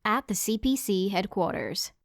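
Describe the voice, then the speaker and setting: Female narrator, scripted storytelling, mid-range voice, soft music bed. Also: mid-range voice, moderate pace.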